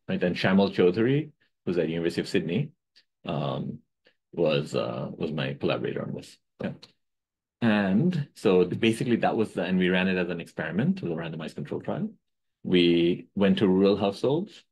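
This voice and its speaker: A man's voice: low voice, sing-song, brisk, gravelly, a little mellow, poor audio. A middle-aged man from Bangladesh giving an academic talk over a video call, lecturing casually with many "right?" checks and self-corrections. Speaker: economics researcher giving a webinar talk.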